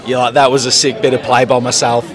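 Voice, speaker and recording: A man's voice: mid-range voice, little pitch movement, quick pace. A young Australian man interviewing a footballer in a chatty, matey way, often repeating words. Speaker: club media interviewer. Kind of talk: casual post-game interview. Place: team change rooms after a match. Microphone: handheld mic. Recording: crowd noise behind.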